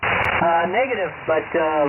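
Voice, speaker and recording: A man's voice: fairly high pitch, lively intonation, unhurried, narrow, tinny audio. A middle-aged or older man calling in casually over amateur radio, heard through a radio transmission. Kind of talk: casual ham radio exchange. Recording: thin, noisy shortwave radio signal.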